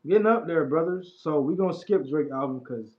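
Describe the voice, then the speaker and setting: A man's voice: fairly high pitch, fairly quick. Laid-back mumbling man in his twenties. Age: 20s. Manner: laid-back slangy talk, mumbling asides. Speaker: livestream host. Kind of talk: casual livestream chat about music. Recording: dull lo-fi stream audio.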